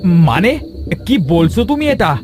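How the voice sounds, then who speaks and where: A man's voice: sits high, sing-song, slow pace, over music, exaggerated voice. A man voicing an earnest, anxious village father in a melodramatic cartoon fairy tale. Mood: puzzled, alarmed. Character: frightened, pleading village husband and father.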